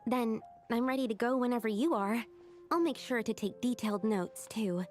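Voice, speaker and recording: A woman's voice: a little high, moderate pace, close to an everyday voice. A woman voicing a studious, earnest young female alchemist in a video game, explaining things precisely and methodically. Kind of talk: scripted video game voice acting. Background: game music.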